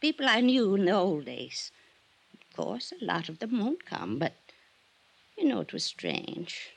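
A wealthy older society widow in a vintage radio mystery. Her voice is husky and swings freely up and down. She rambles effusively and wistfully about the old days. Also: mid-range voice, very animated, measured pace, full character voice. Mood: warm, excited. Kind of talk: old-time radio drama acting.

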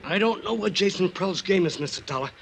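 Streetwise American ex-con, highish and very animated, loyal and earnest, noisy vintage radio drama. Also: male voice, fairly quick, vocal fry. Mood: nervous, determined. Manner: eager, plain-spoken, streetwise. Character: loyal, earnest small-time ex-convict sidekick, male. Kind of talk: 1950s radio drama acting. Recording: noisy old radio serial recording.

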